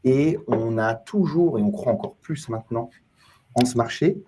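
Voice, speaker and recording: A man's voice: medium pitch, moderate pace, noisy background. A man hosting a podcast at a trade show, welcoming a guest and posing questions with a friendly promotional patter and some fillers. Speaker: podcast host.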